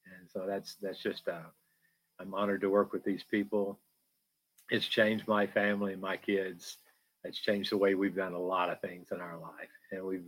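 Deep, flat, husky-voiced man. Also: poor audio. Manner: halting, sincere, many fillers. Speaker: farm resident, guest.

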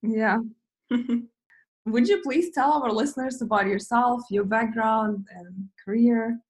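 A woman's voice: a little high, takes it slowly, rough recording. A woman from Ukraine, a native Russian speaker, hosting a podcast with a giggly, enthusiastic manner. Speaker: podcast host. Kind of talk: casual interview chat.

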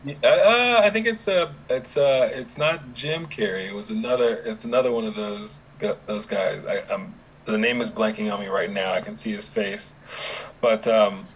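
A young adult man with no regional accent, chatting relaxed with many fillers as a podcast guest. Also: lowish voice, normal speed, rough recording. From Dominica, raised in Houston, Texas. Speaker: podcast guest, app founder. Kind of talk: casual interview conversation.

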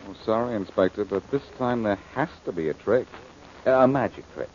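A man's voice: on the low side, animated, fairly quick, darkish tone, lightly acted. A man playing a cocky, wisecracking amateur sleuth in 1940s radio style, glib and teasing. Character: cocky, wisecracking male amateur sleuth, cool and quick-witted. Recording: muffled old-time radio recording, faint noise.

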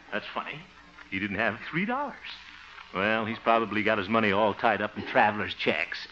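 An actor playing a good-natured, flustered middle-aged bachelor in a 1950 radio comedy, chuckling, stammering and muttering asides. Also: male voice, fairly low pitch, expressive, normal speed, sharp tone. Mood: nervous, eager. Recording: muffled old radio recording.

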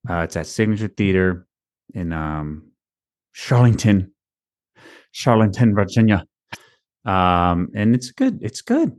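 A man co-hosting a casual podcast, his voice a little raspy, rambling and restarting as he talks. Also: fairly low pitch, very animated, slow pace, pauses often, a little mellow. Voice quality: slightly raspy. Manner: rambling, restarts mid-sentence. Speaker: podcast co-host. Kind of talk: casual sports podcast chat.

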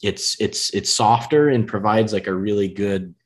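A man's voice: lowish voice, moderate pace. A man from the States with a country-leaning American accent, hosting a podcast in an easygoing way and often repeating words as he talks. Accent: rural American. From United States. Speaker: podcast host. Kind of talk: casual chat.

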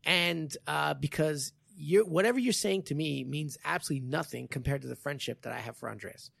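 A man's voice: on the high side, a little fast, creaky, fairly bright tone, slightly rough, no echo. A man in his forties hosting a podcast, talking casually and rambling with many restarts about his jiu-jitsu training. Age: 40s. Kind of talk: casual conversation.

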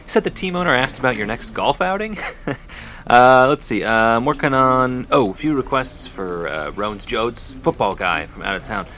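A man playing a chatty, animated staffer. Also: mid-range voice, normal speed, slight background noise. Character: cheerful, chatty man who books sports stars. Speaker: staffer recruiting athletes.

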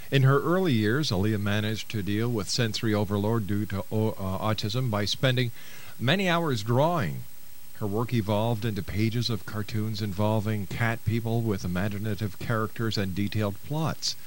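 A man's voice: lowish voice, a little hiss or hum. An older man, hosting a live talk radio show in an announcer's style.

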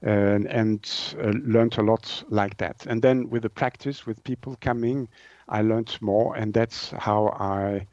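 A man's voice: lowish voice, steady tone, a little slow, darkish tone, dry recording, muffled recording. A man in his sixties from Switzerland, a native French speaker with a Swiss French accent, answering interview questions conversationally and hesitantly, searching for words. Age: 60s. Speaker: naturopath and author being interviewed.

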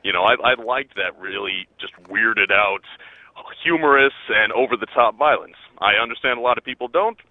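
A man calling in to give casual film opinions, speaking haltingly with repeated words over a phone line. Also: on the low side, no echo. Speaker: caller leaving a listener message. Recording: tinny, narrow phone-line message.

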